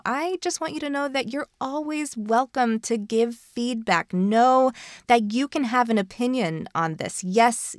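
A woman's voice: mid-range voice, dry recording, studio quality. A woman hosting a podcast, explaining things in an encouraging, direct way. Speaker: podcast host.

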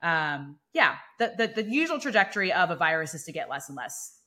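A woman's voice: deep voice, big pitch swings, sharp tone. A young woman co-hosting a fitness podcast, easygoing and agreeable as she chats. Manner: easygoing, agreeable, quick to chime in. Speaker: fitness coach and podcast co-host. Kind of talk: casual podcast chat.

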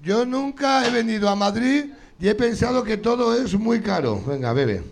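A man's voice: high-pitched, animated, normal speed, creaky. A man hosting a live comedy game show, teasing and swearing as he jokes with the audience. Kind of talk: loose stand-up banter with the audience.

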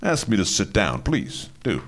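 Smooth creepy gentleman intruder, lowish voice, really up and down, polite but mocking, old suspense play style. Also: wildly swooping pitch, unhurried, full character voice. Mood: suave, coaxing. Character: suave, mysterious male intruder, politely menacing villain. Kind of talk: old-time suspense drama acting.

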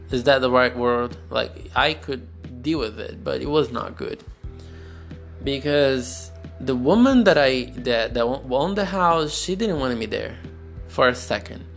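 Slow-talking Brazilian man, podcast host. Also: medium pitch, creaky. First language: Portuguese. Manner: slow, searching, candid, with pauses and restarts. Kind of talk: solo personal storytelling podcast. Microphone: phone.

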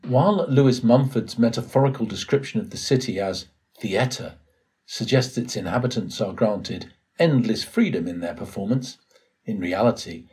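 A man reading a written essay aloud as scripted narration, in a formal, measured way. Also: on the low side, darkish tone, slightly rough. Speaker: volunteer reader of an audio blog post.

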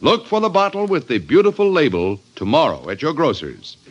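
A man's voice: fairly high pitch, wildly swooping pitch, fairly quick, muffled recording. A man announcing and narrating a scripted 1950s radio show. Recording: noisy old radio recording.

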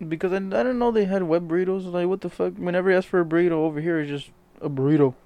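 Higher-voiced man, blunt and profane. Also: racing, muffled recording. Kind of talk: casual chat among friends.

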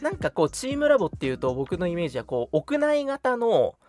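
A young man chatting casually as a radio host, reacting readily to his co-host. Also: sits high. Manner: animated, reactive, quick repeated backchannels. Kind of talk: casual chat. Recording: music playing behind the voice. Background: music.

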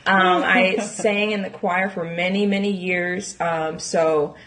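A woman's voice: fairly low pitch, fairly even intonation, takes it slowly, fairly bright tone. A woman in her late twenties co-hosting a podcast, chatting casually about herself. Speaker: podcast co-host.

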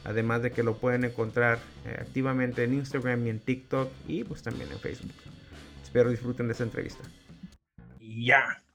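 A man's voice: medium pitch, little pitch movement, fairly quick, creaky, fairly bright tone, soft background music. A man in his forties with a Mexican accent hosting a podcast in a casual, slangy way and swearing freely. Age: 40s. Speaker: podcast host. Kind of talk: podcast introduction and casual interview chat.

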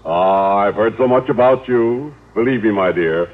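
An actor playing a courtly, earnest and easily flustered butcher, speaking in a genteel, formal, hesitant manner in vintage radio sitcom style. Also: male voice, on the low side, moderate pace, lightly acted. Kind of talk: 1950s radio sitcom acting. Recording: tinny, hissy old radio.

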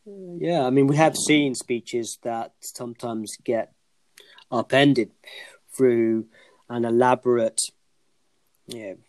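A man's voice: mid-range voice, takes it slowly, long pauses, darkish tone, no room sound, low-quality recording. A man co-hosting a podcast discussion, speaking in formal, elaborate sentences with frequent restarts, losing his voice. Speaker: podcast co-host.

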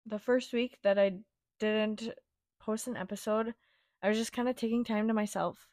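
A young woman hosting her own podcast, her voice raspy from a week-long cough, chatting in a gentle, reassuring, rambling way. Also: medium pitch, fairly even intonation, moderate pace, no room sound, clean studio sound. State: raspy from a cold. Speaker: podcast host. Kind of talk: casual solo podcast chat.

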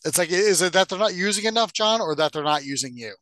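A man's voice: high-pitched, expressive, fast talker, a bit bright, no room sound, clean studio sound. A man co-hosting a podcast in casual, profane banter, giving blunt opinions. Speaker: podcast co-host.

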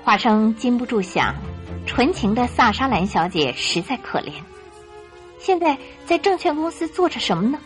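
A woman narrator giving scripted, expressive Mandarin storytelling for a radio drama. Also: a little high, moderate pace, faint music behind.

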